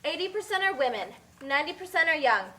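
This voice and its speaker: A woman's voice: high-pitched, expressive, quick pace, sharp tone, slight background noise. A woman playing an informative narrator, reciting facts in scripted puppet show narration.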